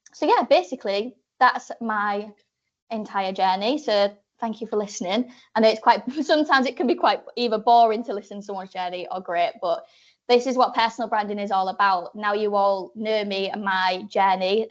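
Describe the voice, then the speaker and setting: A young woman with a Yorkshire accent talks through a presentation in a chatty, rambling way, often restarting and adding fillers. Her voice sits at a middling pitch, and the recording sounds a little dull and lo-fi. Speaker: presenter giving a talk to apprentices. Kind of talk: informal presentation.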